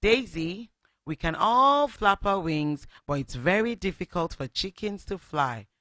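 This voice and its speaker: A radio storyteller voicing Mama, a gentle mother hen who soothes and encourages her chick. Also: medium pitch, animated, a little slow, no echo, clean studio sound.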